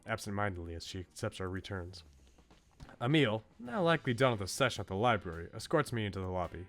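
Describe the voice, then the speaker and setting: A man livestreaming a visual novel, commenting and reading aloud in an expressive way. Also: fairly low pitch. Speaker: game streamer.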